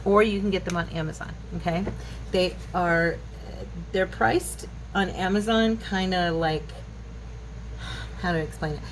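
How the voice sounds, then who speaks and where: A woman's voice: on the low side, a little slow. A woman in her fifties chatting casually through a craft tutorial, rambling and musing aloud as she works indoors. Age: 50s. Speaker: craft channel host. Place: indoor craft table at home. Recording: clearly noisy home recording.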